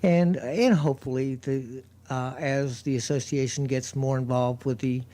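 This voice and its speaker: A man with a loud, warm, mellow voice, talking loosely in a radio studio. He rambles and restarts often, mid-range in pitch, never polished. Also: steady tone, a little slow. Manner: rambling, with many fillers and restarts. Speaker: street performer guest. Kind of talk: casual radio chat.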